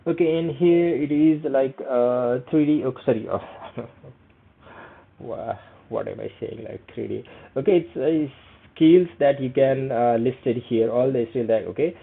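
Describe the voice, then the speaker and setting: A young man with a Nepali accent, speaking English as a second language, guides viewers through a tutorial. His voice is gravelly and sits at a middle pitch. He halts often and fills the gaps with "uh" and "okay", and the sound is tinny, as if heard over a phone. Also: unhurried. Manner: hesitant, many fillers, frequent "okay". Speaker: vlogger. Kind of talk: screen-share tutorial.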